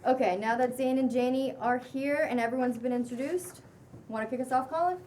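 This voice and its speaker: A woman's voice: sits high, a little fast, a little mellow, distant mic, a little hiss or hum, natural voice. A woman at a table read playing a beaming, friendly, upbeat housemate who is a social media shaman. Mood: upbeat announcing. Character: beaming, welcoming nonbinary TikTok shaman housemate. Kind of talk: table read acting of a pilot script.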